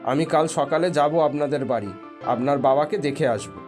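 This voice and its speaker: Cartoon casting: male actor as sensible doctor, fairly high voice, wry and matter-of-fact, over clear music. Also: brisk, natural voice. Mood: agreeable, reassuring. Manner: matter-of-fact, drily teasing. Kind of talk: animated cartoon dialogue. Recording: music behind the voice.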